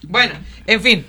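A woman's voice: on the high side, bright, forceful tone. A woman with a Mexican Spanish accent, chatting about politics in an easygoing way. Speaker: political talk panelist. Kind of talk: casual political chat.

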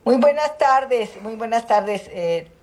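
A middle-aged woman with a Mexican accent, a senator answering interview questions in a measured, hesitant way with many fillers. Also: a little high, animated, a little mellow. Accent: Mexican Spanish. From Mexico, Guerrero Costa Chica. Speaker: senator and political candidate. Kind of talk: phone or remote interview.

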